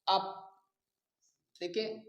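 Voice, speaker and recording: A man teaching a grammar lesson, explaining rules deliberately and repeating his phrases. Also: muffled recording. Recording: echoey room sound.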